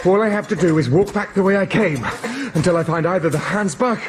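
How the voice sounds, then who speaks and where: An actor playing a panicking young German nephew lost underground in a radio drama, pleading and muttering to himself. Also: male voice, high voice, a little fast, crowd noise, close to an everyday voice. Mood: nervous self-reassurance. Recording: noisy 1990s radio drama broadcast.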